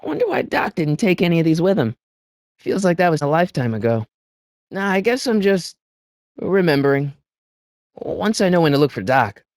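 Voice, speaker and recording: An actor playing an excitable, good-hearted teenage boy in an adventure video game, with hesitant stammers and an incredulous way of questioning things. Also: on the high side, moderate pace, long pauses, dry recording, clean studio sound. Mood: musing, curious. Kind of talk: animated video game voice acting.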